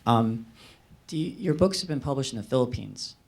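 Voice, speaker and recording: A man's voice: mid-range voice, lively intonation, normal speed, gravelly, slightly rough. A man at a live book talk asks a casual, somewhat hesitant question.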